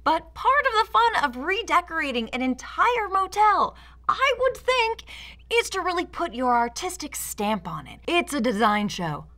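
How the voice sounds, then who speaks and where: A woman's voice: very high-pitched, very animated, normal speed, bright, forceful tone. A woman in her thirties hosting satirical video commentary in an arch, theatrical, mock-sincere way. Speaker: video essay host. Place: indoor studio set with plain backdrop.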